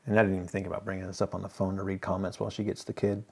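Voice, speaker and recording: A man's voice: low-pitched, level tone, racing, gravelly, breathy edge. A man hosting a casual live stream, thinking aloud as he works and chatting easily with viewers. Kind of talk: casual live stream chat while working.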